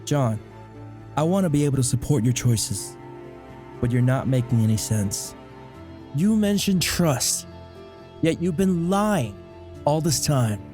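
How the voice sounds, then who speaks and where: Man as an exasperated dad, animated high-ish voice. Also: measured pace, long pauses, faint music behind. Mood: concerned. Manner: probing, brash, scolding. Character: blunt, nosy, exasperated but caring father. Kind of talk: amateur comic dub voice acting.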